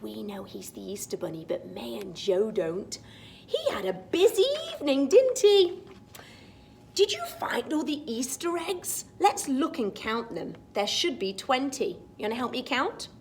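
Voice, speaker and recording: A woman storytime teacher reading a picture book aloud to young children, expressive and sing-song, with chatty asides. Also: a little high, unhurried, gravelly, slight background noise.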